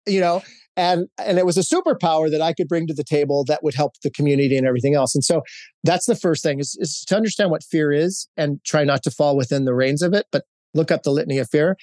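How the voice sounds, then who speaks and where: A man's voice: on the high side, fast talker, darkish tone, no echo. Candid middle-aged man, dry sound. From Hawaii, United States. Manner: candid, storytelling, with frequent fillers and restarts. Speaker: guest, corporate executive. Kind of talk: reflective interview answers.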